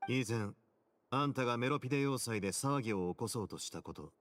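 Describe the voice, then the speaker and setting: An actor playing a cool, confident investigator's companion in a Japanese game dub, casual with faint menace. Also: male voice, medium pitch, expressive, rapid-fire, a bit bright, no echo, natural voice. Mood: cool, assured. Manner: casual, self-assured.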